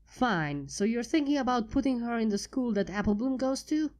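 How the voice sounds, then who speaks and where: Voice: mid-range voice, lively intonation, moderate pace, a little mellow, pitched above the performer's own voice, close to an everyday voice. A fanfiction reader voicing an earnest young female unicorn scholar.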